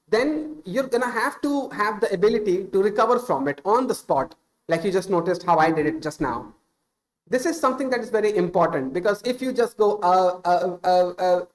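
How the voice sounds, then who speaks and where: A man's voice: high voice, poor audio. A man in his thirties with an Indian accent, whose first language is Hindi, giving casual, unscripted English-speaking advice on a stream, earnest and full of small "uh"s and self-corrections.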